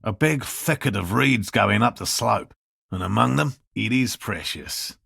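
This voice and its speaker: Elderly scientist, video game character, very gravelly and raspy, forceful edge, pedantic, earnest, stubbornly hopeful. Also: male voice, on the low side, unhurried, no room sound, clean studio sound, exaggerated voice. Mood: polite, instructive. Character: earnest, elderly male cryptozoologist, stubbornly hopeful, scholarly, devoted husband.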